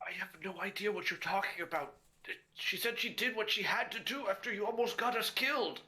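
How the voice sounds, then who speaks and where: A man voicing a young orc son, earnest and scared but defiant, with a pleading, hurried delivery in a dramatic story. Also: mid-range voice, sharp tone. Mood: defiant, upset.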